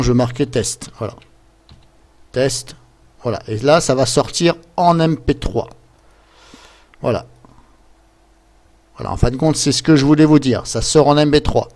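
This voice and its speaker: Man rambling through a software tutorial. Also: medium pitch. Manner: rambling, hesitant, step-by-step, fond of filler words. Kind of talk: casual improvised screen-share tutorial. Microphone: computer microphone.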